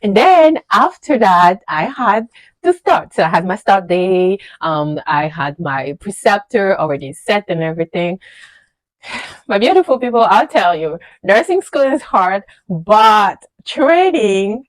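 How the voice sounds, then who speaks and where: A woman in her thirties whose first language is French, working as a registered nurse and telling her journey in a casual vlog, animated and emphatic, with many fillers and repeated words. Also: medium pitch, a little slow, dry recording, low-quality recording. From French-speaking country, immigrated to the USA. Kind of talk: casual personal storytelling to camera.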